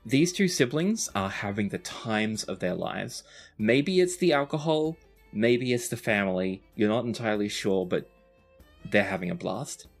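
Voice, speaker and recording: Male game master, low voice, improvised conversational narration over music. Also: expressive, normal speed, vocal fry.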